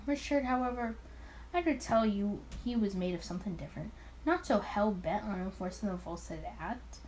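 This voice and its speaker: A woman's voice: mid-range voice, moderate pace, darkish tone, slight background noise, natural voice, low-quality recording. Woman reading as a cocky charming mafia boss. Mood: smug, explaining. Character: smooth-talking, arrogant male 1920s mafia boss.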